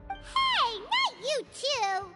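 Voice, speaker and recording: A super high squeaky anime game mascot kid, bouncy and excitable, music behind her. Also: drawn-out words, heavily acted. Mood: playful indignation. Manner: speaks of herself in third person, exclamatory. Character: small childlike floating girl companion, cheerful, excitable.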